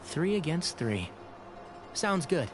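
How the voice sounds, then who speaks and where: An actor playing an earnest, supportive young adventurer in a video game, speaking in an encouraging way. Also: male voice, fairly high pitch, big pitch swings, slow pace, music in the background. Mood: confident encouragement.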